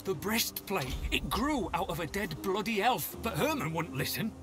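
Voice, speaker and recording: A man's voice: high-pitched, sing-song, moderate pace. An actor voicing a coarse, shaken male soldier. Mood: rattled. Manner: coarse, rattled. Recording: game audio under music.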